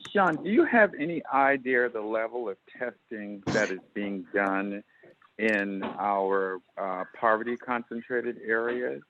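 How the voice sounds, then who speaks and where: A male council member speaking earnestly and insistently in a meeting, repeating words haltingly, over a phone conference line. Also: on the low side. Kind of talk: commenting in a meeting. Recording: tinny phone line, faint noise.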